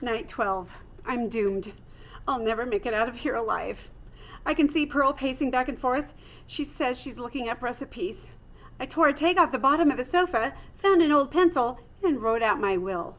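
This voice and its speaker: A woman plays a little male hamster diarist from a children's storybook. The voice sits fairly high. He is plucky and dramatic, telling his tale with earnest, theatrical expression. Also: normal speed, fairly bright tone. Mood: gloomy, melodramatic. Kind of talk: storybook read-aloud in first person.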